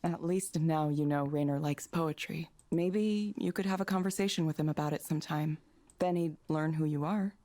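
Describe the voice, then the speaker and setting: A woman's voice: low-pitched, normal speed, dark tone, no room sound, lightly acted. A woman playing a modest, guarded woman in a visual novel, with natural, understated delivery. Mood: gently interested. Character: reserved, modest, guarded woman, former tech researcher. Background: game music.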